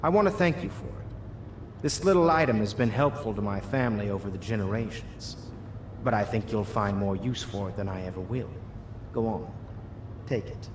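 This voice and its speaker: A man's voice: lowish voice, moderate pace, natural voice. A man playing a genteel aristocrat in a video game, polite and formal. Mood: courteous gratitude. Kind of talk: video game character dialogue. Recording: echoey room, clear background noise.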